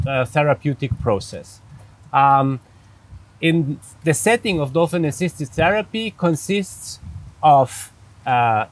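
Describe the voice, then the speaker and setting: A man in his forties from Austria, speaking English with an Austrian German accent as a psychologist guest, measured and careful with many fillers, outdoors by a pool. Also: on the high side. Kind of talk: interview answers.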